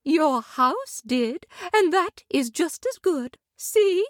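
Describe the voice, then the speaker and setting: This is a graceful good witch from a storybook radio play, voiced by a woman narrator. She speaks tenderly and calmly in a lilting, much higher voice. The sound is dry and close. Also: slow, dry recording. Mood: welcoming.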